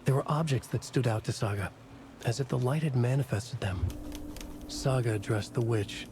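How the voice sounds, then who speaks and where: An actor playing a solemn writer who narrates a horror story in a video game, in short, deliberate sentences. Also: male voice, medium pitch, background noise, lightly acted. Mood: ominous, solemn. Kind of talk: scripted literary narration in a game.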